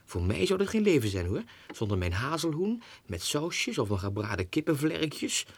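A man's voice: a little high, big pitch swings, moderate pace, breathy edge, no room sound, exaggerated voice. A man voicing a pampered, gossipy female lapdog's letters in an affected, dramatic way for a 1960s radio drama. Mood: chatty, fussy.

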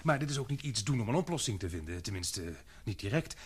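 A bold young expedition member who talks quickly and keenly. His voice is somewhat husky and swings up and down in pitch. He stays deferential to his superior. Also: sing-song. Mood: eager. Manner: quick, keen, respectful. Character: eager, daring young male expedition member. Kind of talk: radio play acting.

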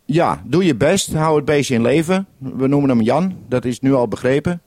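A man's voice: medium pitch, animated, moderate pace, a little mellow, no room sound. A man speaking in an instructive manner on a two-way radio link, giving step-by-step directions in a vintage 1970s broadcast. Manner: instructive, ends turns with radio procedure word. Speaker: radio programme maker on the mainland. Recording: 1971 radio broadcast recording.

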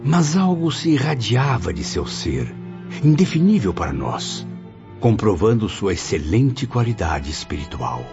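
Earnest spirit apprentice, low lively voice, formal literary phrasing, radio drama, music behind. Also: male voice, a little slow, full character voice. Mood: admiring, reflective. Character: earnest, inquisitive male apprentice spirit recounting his memories. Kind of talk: radio drama acting, literary first-person narration. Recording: dull lo-fi audio. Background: clear background music.

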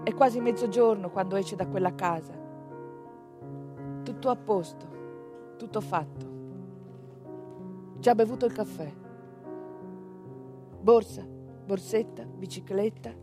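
A woman delivering a theatrical monologue in a conversational way, with pointed pauses and rhetorical asides. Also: mid-range voice, over music. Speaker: stage actress narrating a monologue.

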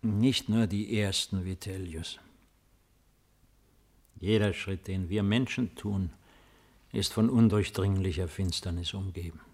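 An aging male ruler of Rome, weary and melancholy. He speaks in a low register at a very slow, measured pace. The delivery is solemn and declamatory, commanding yet resigned, in classic fifties radio play manner. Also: fairly even intonation, darkish tone. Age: older. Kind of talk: 1950s German radio drama acting.

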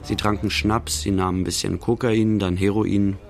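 A man's voice: fairly low pitch, level tone, normal speed, creaky. A man narrating a radio play as a laconic storyteller, in plain, repetitive short sentences. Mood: matter-of-fact, wistful. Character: laconic male storyteller recalling idle drinking days.

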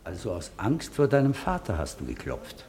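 Stern, probing judge, strongly varied pitch, authoritative courtroom questioning, 1950s radio play. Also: male voice, huge pitch swings, moderate pace, a little mellow, slight background noise. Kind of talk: 1950s German radio drama acting.

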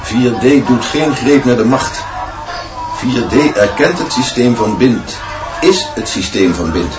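An actor playing a knowing schoolteacher in a radio play, explaining things emphatically. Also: male voice, medium pitch, lively intonation, slow pace. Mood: knowing, emphatic. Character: male schoolteacher, knowing admirer of the headmaster's system. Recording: distant, echoey, dull, crowd and music behind.

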